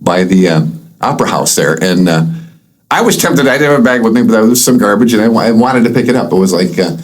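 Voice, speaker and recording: Fast-talking male podcast host. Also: fairly low pitch, animated. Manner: rapid, rambling, many uhs. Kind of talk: casual interview chat.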